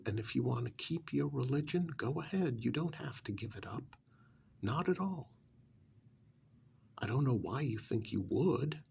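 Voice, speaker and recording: A very creaky, gravelly male actor as a smug vampire's familiar pitches the pampered life in an ASMR role-play. He speaks slowly and conversationally, coaxing and dismissive, often sinking into a breathy near-whisper. There is faint noise behind him. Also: exaggerated voice. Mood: defensive, persuasive. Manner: conversational, cajoling, with rhetorical questions and pauses.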